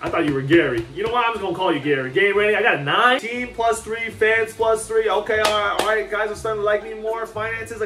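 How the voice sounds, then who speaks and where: A young man giving excited, playful commentary over his own football video game, often chanting the same words over and over. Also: high voice. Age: young adult. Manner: hyped, playful, repeats chants, trash talk. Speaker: gaming YouTuber. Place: small room indoors. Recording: roomy, slightly distant, faint music.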